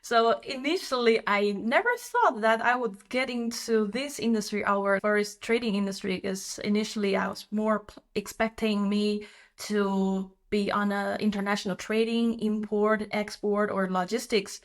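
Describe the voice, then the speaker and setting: Woman guest on a podcast, chatty, laughs a lot, lots of uh and like, mid pitch. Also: fairly even intonation, moderate pace. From China. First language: Chinese. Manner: chatty, laughing, with frequent fillers. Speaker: guest, company co-founder. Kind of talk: casual interview.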